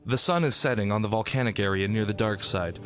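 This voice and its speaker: A man's voice: fairly low pitch, fast. A man reading scripted narration for a radio drama. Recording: loud music under the voice. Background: loud music.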